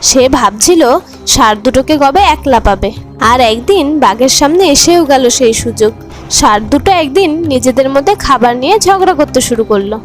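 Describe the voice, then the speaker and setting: High voiced lady narrating a kids puppet story, music playing clearly behind. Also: animated, fairly quick.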